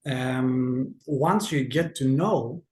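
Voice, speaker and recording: A man's voice: mid-range voice, extremely slow, roomy sound. A man from Greece with a Greek accent, a guest in a casual video-call interview, talking hesitantly with many fillers.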